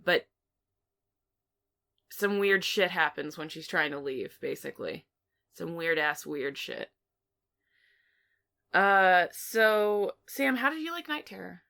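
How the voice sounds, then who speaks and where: A woman's voice: lowish voice, pushed and bright, clear, resonant tone. A woman co-hosting a film review podcast, talking casually and informally with some mild swearing. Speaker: podcast co-host reviewing films.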